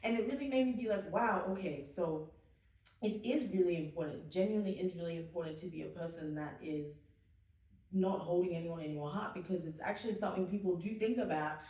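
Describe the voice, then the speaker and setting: A woman's voice: fairly low pitch, fairly quick, dark tone. Echoey young-sounding woman telling a story. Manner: storytelling, lots of fillers and asides. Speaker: podcast co-host. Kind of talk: casual faith chat. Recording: echoey room, mic at a distance.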